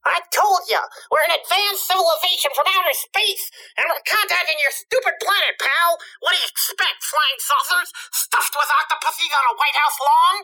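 A man's voice: very high voice, normal speed, few pauses, bright, forceful tone, heavily acted, poor audio. A man voicing a mouthy, scornful cartoon duck as a space alien in a radio play. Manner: indignant, brash.

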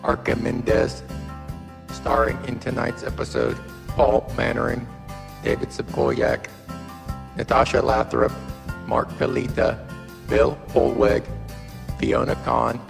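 A man's voice: medium pitch, level tone, unhurried, creaky, pauses often. A man announcing the cast credits of an audio show in a scripted style. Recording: reverberant voice under music.

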